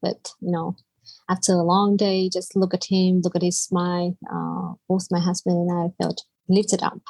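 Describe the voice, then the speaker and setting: A woman's voice: on the low side, completely flat delivery, normal speed, gravelly, darkish tone, no echo, rough recording. A woman from Vietnam, a native Vietnamese speaker with a Vietnamese accent, telling her personal story as a podcast guest.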